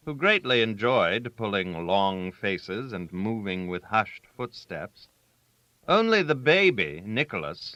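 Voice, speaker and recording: A man narrating a literary story aloud, with a theatrical, wry manner and deliberate emphasis on key words. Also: fairly low pitch, bright, forceful tone, dry recording. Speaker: audiobook narrator.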